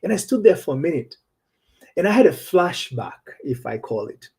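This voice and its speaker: Husky-voiced man coaching. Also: fairly high pitch, big pitch swings, normal speed, darkish tone. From Tanzania. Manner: earnest and personal, with frequent restarts. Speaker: relationship coach. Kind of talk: storytelling talk show monologue.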